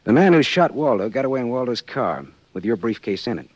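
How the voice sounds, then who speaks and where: An actor playing a sardonic, glib American hard-boiled private detective in 1940s radio style. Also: male voice, mid-range voice, big pitch swings, brisk, a little mellow, slightly rough, no room sound. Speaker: lead actor and narrator. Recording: muffled, lo-fi 1940s radio recording.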